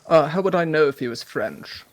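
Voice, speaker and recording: A man playing a polite, stranded gentleman traveller who stammers and hesitates, with many fillers and formal phrasing. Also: fairly high pitch, lively intonation, normal speed, close to an everyday voice. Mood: polite, flustered. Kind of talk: role-play in a western game.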